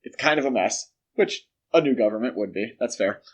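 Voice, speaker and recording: A man's voice: mid-range voice, animated, a little fast, slightly rough, lo-fi sound. A man co-hosts a casual film discussion podcast. He explains his points step by step in a conversational way, putting emphasis on the key words as he builds an argument. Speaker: podcast host.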